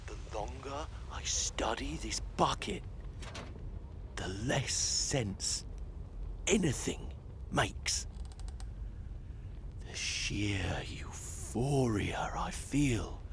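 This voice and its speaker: Unhinged obsessed researcher guy on a secret tape, really slow n halting, half whispering, some noise. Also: mid-range voice. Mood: weary, lost. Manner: halting, breathy, drifting into whispers. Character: obsessed, unraveling male researcher recording secret tapes. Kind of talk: dramatic monologue as recorded audio log.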